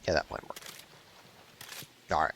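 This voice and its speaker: A man's voice: a little slow, fairly bright tone, slight background noise. A man giving casual let's-play commentary on a video game, with a dry, grumbling manner. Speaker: gaming commentator.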